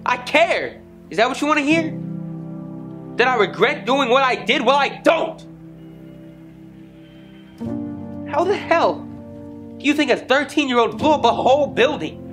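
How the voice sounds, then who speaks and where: A man's voice: a little high, expressive, normal speed, pauses often, music in the background, pitched above the performer's own voice, natural voice. A man playing a defiant, anguished teenage boy on the run, speaking with impassioned, defensive anger in a homemade film scene. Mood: angry, defiant.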